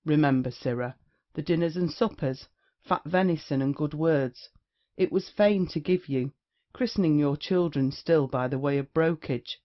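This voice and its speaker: An actor playing a brazen, witty rake in a period stage comedy, declaiming with mocking insolence. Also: male voice, fairly high pitch, normal speed, highs cut off, close to an everyday voice. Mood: scornful. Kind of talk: theatrical period comedy acting.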